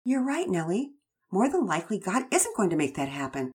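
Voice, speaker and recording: A woman voicing a patient, devout father who gently teaches his daughter. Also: mid-range voice, big pitch swings, a little fast, slightly rough. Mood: instructive. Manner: gentle, instructive, scripture-quoting.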